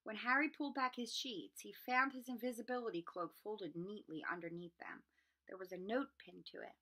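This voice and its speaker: A woman reading a children's novel aloud as an expressive storyteller. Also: medium pitch. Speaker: storybook reader.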